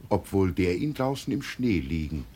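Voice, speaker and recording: A man's voice: deep voice, big pitch swings, normal speed, warm tone, husky, heavily acted. An actor playing a deluded old peasant who believes he is an emperor, solemn and grandly proclaiming, in 1950s German radio drama style. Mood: solemn, insistent.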